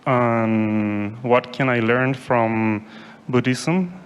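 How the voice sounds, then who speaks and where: A man's voice: medium pitch, extremely slow, smooth. A young man from Chile with a Chilean Spanish accent, Spanish his first language, asking a question from the audience carefully and with many hesitations. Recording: long hall reverb.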